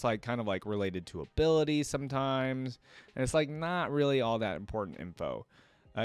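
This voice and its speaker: A man making a reaction video, chatty and thinking aloud as he reads text out and comments casually. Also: mid-range voice.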